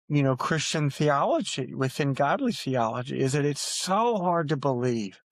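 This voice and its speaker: A man's voice: on the high side, no echo. A man talking earnestly as an author guest on a podcast, repeating phrases for emphasis. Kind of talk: earnest podcast interview talk.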